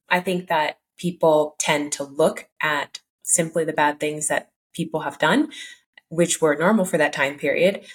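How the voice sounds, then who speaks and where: A woman from Cameroon hosting a podcast interview, speaking in a measured, thoughtful way with frequent pauses. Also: low voice. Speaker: podcast host. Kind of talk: casual conversational interview.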